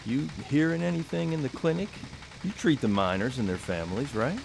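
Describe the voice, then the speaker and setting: A man's voice: medium pitch, fairly quick, creaky, a little hiss or hum, lightly acted. An actor playing an affable, curious small-town newspaperman in a radio drama, with a West Virginia accent. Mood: curious, confiding. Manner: folksy, conversational.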